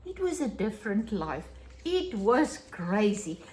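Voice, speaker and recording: A woman's voice: medium pitch, sing-song, measured pace, a little mellow. A middle-aged South African woman whose first language is Afrikaans, chatting to camera in a gushing, enthusiastic way about things she has found. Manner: gushing, enthusiastic, exclaiming over small finds. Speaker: vlogger. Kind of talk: casual chat to camera.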